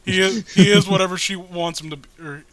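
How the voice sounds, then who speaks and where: High-voiced, bright-toned man riffing casually. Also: fairly even intonation, brisk. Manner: sweary, exasperated, rambling. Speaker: co-host of a comedic reading show. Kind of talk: casual comedic commentary while reading aloud.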